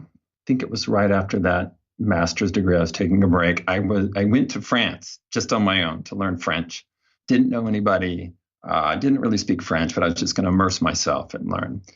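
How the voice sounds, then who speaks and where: Low-voiced guy being interviewed, kinda husky, chatty storytelling, slightly muffled audio. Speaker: guest, behavior design researcher.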